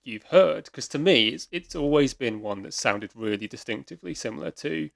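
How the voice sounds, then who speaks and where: A man's voice: mid-range voice, lively intonation, moderate pace, gravelly, dry recording, studio quality. A man hosting a casual podcast quiz, chatty and explaining at length, often repeating words as he thinks. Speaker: quiz host.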